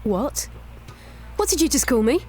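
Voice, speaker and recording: A woman's voice: high-pitched, sing-song, unhurried, darkish tone, faint music behind. A woman playing a defensive, fiery young woman in an audio drama, blunt and agitated. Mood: wary, affronted.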